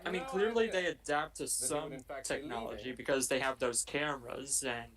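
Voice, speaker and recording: A man's voice: medium pitch, moderate pace, a crowd in the background. A man chatting casually and jokingly as he co-hosts a film commentary.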